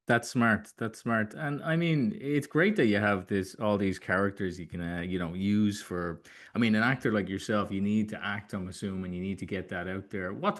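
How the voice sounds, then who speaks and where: A man hosting a podcast interview, asking questions casually in loose, rambling phrasing. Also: on the low side.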